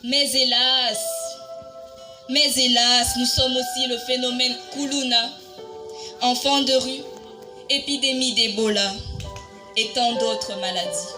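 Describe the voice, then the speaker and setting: A woman reciting a poem in a dramatic spoken-word style, solemn and declamatory, with deliberate pauses. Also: fairly high pitch, fairly even intonation. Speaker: poetry reciter. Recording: music bed under the voice. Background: music.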